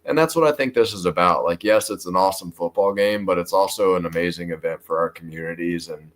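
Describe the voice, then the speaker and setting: A man with an American accent talking in a sports interview as a high school football coach, earnest and enthusiastic. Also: quick pace. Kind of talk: sports talk show interview.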